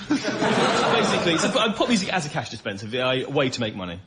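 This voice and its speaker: Animated, high-pitched man riffing fast. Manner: mock-exasperated, incredulous comic ranting. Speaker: panel show guest. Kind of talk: comic panel-show riffing. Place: radio panel show before a live audience. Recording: noisy live recording with audience.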